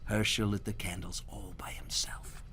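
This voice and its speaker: A man reading a children's story aloud in a dramatic, sometimes hushed storytelling manner. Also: on the low side, level tone, moderate pace, whispered.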